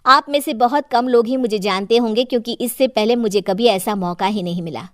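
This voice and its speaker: A woman's voice: on the high side, a little fast, dry recording, studio quality, lightly acted. A woman voicing an earnest, plain-spoken wronged wife and councillor in a cartoon moral story. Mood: earnest, resolute. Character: meek, wronged wife and councillor finding her courage.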